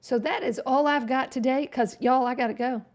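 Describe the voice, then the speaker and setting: A fairly high-voiced woman, hosting a relaxed livestream tutorial. She talks through each click in a chatty, folksy way, and her voice is wearing hoarse. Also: fairly quick. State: losing her voice. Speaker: web design livestream host. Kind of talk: casual screen-share tutorial chat.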